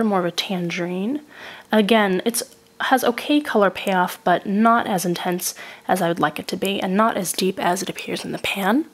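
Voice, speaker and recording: A woman narrates a makeup review while still getting over a cold. She works through each shade in a steady, methodical way. Her tone is descriptive and even. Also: medium pitch. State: recovering from a cold. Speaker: beauty reviewer.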